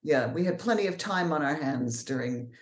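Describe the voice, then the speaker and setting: A low-pitched woman speaks with a deeper voice, telling her story frankly and with plenty of energy. She is a guest in a casual interview. Her voice rings in an echoey room. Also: expressive, normal speed. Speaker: author and actor, interview guest.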